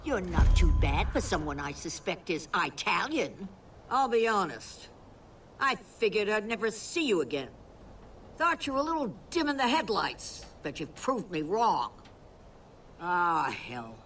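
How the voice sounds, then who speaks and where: A woman's voice: on the low side, big pitch swings, a little slow, a bit bright, recorded from a distance, slight background noise. An actress playing a cranky, blunt old rural woman in a video game, talking in a crotchety, sardonic way. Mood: grudging, dry. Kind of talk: video game mission dialogue.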